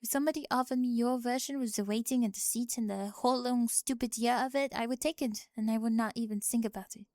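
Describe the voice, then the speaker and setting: Woman acting a frank French exchange student, higher-pitched. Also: steady tone, fast, hardly any pauses, a little mellow, no echo, studio quality, natural voice. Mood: earnest, frank. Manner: precise, earnest, matter-of-fact phrasing. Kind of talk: ASMR roleplay acting.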